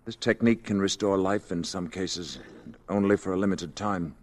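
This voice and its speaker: A grave, measured alien emissary. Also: male voice, low voice. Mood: calm, subdued. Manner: measured, formal, solemn. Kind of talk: old radio drama acting. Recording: dull vintage radio recording, hall reverb.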